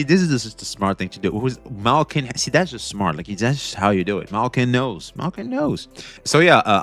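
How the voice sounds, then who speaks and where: A young man hosting a casual reaction stream, talking back to a video with excited, repetitive commentary. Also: lowish voice. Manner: excitable, talks back to the video, repeats himself.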